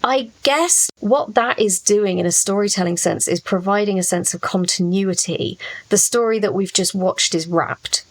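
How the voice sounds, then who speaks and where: A woman's voice: mid-range voice, moderate pace, few pauses. A woman co-hosting a podcast, talking in a casual discussion style. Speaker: podcast co-host and writer.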